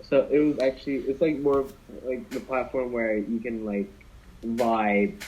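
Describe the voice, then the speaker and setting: A man's voice: medium pitch, little pitch movement, measured pace, darkish tone, a little hiss or hum. A young man casually telling a story to friends on a podcast, rambling with many fillers and restarts. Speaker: podcast co-host. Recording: dull lo-fi podcast audio.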